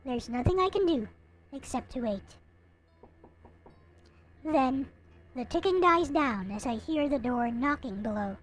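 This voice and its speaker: A woman's voice: high-pitched, animated, unhurried, long pauses. A woman voicing a bitter, hopeless young narrator in a visual novel, reading in a melancholy, theatrical way. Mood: resigned, sullen. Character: gloomy, bitter, hopeless young person going blind, self-pitying narrator.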